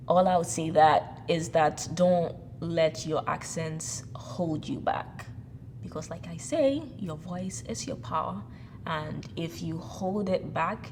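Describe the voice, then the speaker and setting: A woman's voice: on the low side, background noise. A woman hosting a casual interview, speaking in an earnest, encouraging way. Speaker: host.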